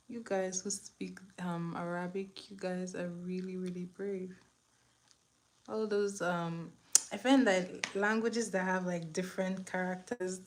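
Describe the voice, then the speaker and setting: Fields: Sex: woman. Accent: Nigerian. Role: chatty makeup vlogger. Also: mid-range voice. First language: Igbo. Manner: chatty, drops to a whisper while applying. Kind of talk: casual makeup tutorial chat.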